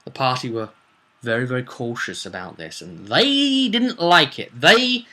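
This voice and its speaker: A man recounting a tabletop game session in an animated, emphatic way, throwing in rhetorical questions like a game master telling a tale. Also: mid-range voice, unhurried. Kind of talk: animated vlog storytelling.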